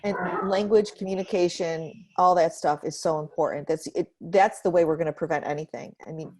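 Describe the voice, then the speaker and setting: Lower-pitched woman hosting a video chat. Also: steady tone, a little fast, darkish tone, a little hiss or hum, poor audio. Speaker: host. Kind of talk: casual, heartfelt video-call conversation. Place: at home, on a video call. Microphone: video call.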